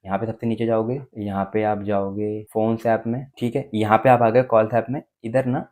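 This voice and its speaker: A man's voice: fairly low pitch, level tone, normal speed, a little mellow. An Indian-accented man presenting a casual step-by-step phone tutorial, explaining and often checking in with short tag words.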